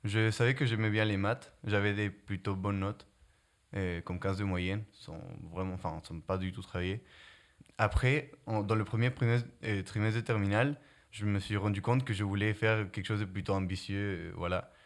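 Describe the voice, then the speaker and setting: A man's voice: fairly low pitch, steady tone. Young man with a slight Spanish accent. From Guatemala. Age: young adult. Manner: halting, many restarts, searches for words. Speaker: prep-school student. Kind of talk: casual interview answers.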